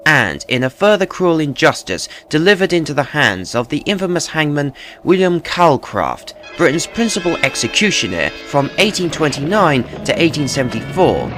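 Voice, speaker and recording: A man narrating a true tale as scripted, dramatic storytelling in a measured, grave manner. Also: on the high side, sing-song, a bit bright, faint music behind.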